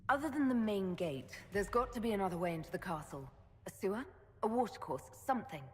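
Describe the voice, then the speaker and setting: An actress playing a bold, blunt young mage leader in a video game, firm and direct. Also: female voice, medium pitch, lively intonation, quick pace, a little mellow, slight background noise, close to an everyday voice. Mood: determined. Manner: firm, direct, plain-spoken. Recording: long hall reverb, faint music behind.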